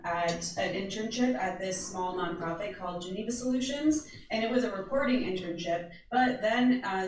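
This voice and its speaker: A woman's voice: medium pitch, heavy reverb, distant mic, slight background noise, poor audio. A young American woman with an American accent, talking loosely about her first job with many ums. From United States. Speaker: panel speaker, social media producer. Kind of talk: casual panel talk.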